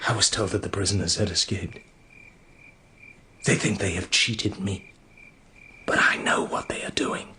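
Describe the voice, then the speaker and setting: A man's voice: sits low, steady tone, a little slow, long pauses, bright, forceful tone, whispered. An actor playing a stern, suspicious, authoritarian king in an audio drama, speaking in a clipped, commanding way. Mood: scornful resolve.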